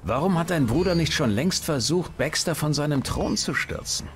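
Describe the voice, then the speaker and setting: A man's voice: a little high, lively intonation, normal speed, fairly bright tone, slight background noise. A man playing a wry, cautious adventurer in a game, with a dry, understated delivery. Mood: wry concern. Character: wry, cautious male adventurer, level-headed companion. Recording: music clearly under dialogue.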